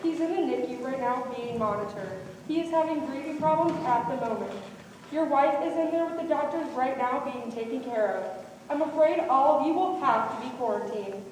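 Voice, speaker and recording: A woman's voice: high voice, moderate pace, a little mellow, close to an everyday voice. A woman playing an apologetic hospital worker who gives grave news formally in a stage play. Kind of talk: live stage one-act play. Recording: very echoey hall, distant mic, faint noise.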